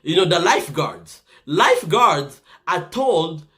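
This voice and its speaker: A man's voice: on the high side, big pitch swings, slow pace, pushed and bright. A man from the Congo with a Congolese accent, giving earnest casual advice to viewers and often checking in with "right?". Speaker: vlogger giving life advice.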